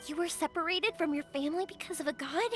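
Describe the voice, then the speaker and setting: A woman's voice: very high voice, fast talker. An actress playing a cheerful, chatty young woman who makes fireworks in a game, eager to please, with game music behind her.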